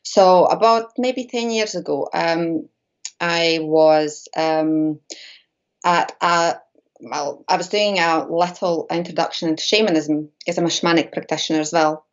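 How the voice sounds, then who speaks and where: A woman's voice: fairly low pitch, fairly even intonation, a little slow, muffled recording. A Latvian woman, a native Latvian speaker, talking as a guest about mediumship in a hesitant, filler-heavy chat over a video call. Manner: hesitant, many fillers and restarts. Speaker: guest medium and shamanic practitioner. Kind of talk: casual interview chat.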